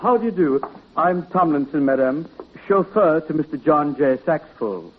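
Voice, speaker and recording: A male actor plays a stiff, proper chauffeur in old radio comedy, clipped and deadpan. His voice is a little high for a man. The sound is thin and tinny with light noise. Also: moderate pace, lightly acted. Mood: formal, deadpan. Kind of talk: 1950s American radio comedy acting. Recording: tinny, slightly noisy 1950s radio.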